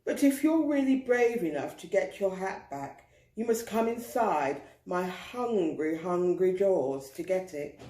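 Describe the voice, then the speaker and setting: A woman's voice: on the low side, lively intonation, measured pace, dark tone, reverberant. A woman voicing a greedy, hungry male crocodile in a children's story, drawling and relishing the words. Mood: menacing.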